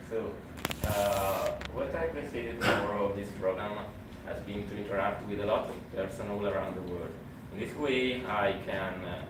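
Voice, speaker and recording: Italian-accented male teacher, slightly low, halting and earnest; distant mic, heavy room echo. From Italy. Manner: earnest, halting, with small laughs. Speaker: teacher in an exchange program. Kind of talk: group report-out to colleagues. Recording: echoey room, distant mic, background noise.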